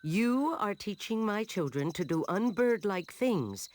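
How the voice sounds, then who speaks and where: A woman's voice: mid-range voice, lively intonation, a little slow, darkish tone, voice pushed up, natural voice. Woman voicing a strict, scolding mother bird. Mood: stern, scolding. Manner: stern, commanding. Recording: music behind the voice.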